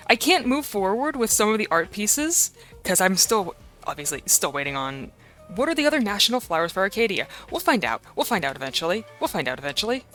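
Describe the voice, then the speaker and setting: A slightly hoarse woman streamer in casual live-stream chat, rambling and playful as she talks to her chat. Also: lowish voice, fairly bright tone.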